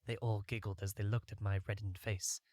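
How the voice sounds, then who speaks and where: A man's voice: on the low side, little pitch movement, fairly quick, darkish tone, dry recording, clean studio sound. A man reading a first-person fantasy adventure story as a scripted audiobook narration.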